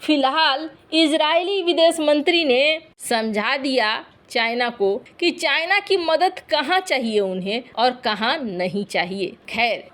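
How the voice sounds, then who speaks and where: High-pitched woman presenting the news. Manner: explanatory, addresses listeners directly. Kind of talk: conversational scripted news narration.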